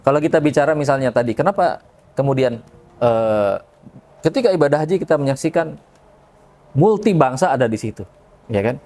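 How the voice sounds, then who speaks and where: A man's voice: medium pitch, lively intonation, a little fast, pauses often, a little mellow. Man explaining in conversation. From Indonesia. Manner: explanatory, often checks in with tag questions. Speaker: religious speaker, podcast guest. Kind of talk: conversational religious discussion.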